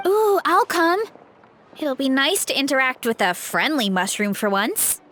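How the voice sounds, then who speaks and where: High-voiced earnest young game heroine. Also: female voice, sing-song, a little slow, heavily acted. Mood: friendly, curious. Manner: hesitant, earnest, softly grateful. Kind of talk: anime-style video game voice acting. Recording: music behind the voice. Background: game music.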